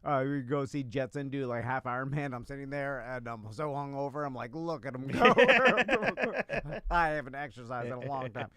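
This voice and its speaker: A man co-hosting a talk show with casual comedic banter, rambling and quick to laugh. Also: medium pitch, sharp tone.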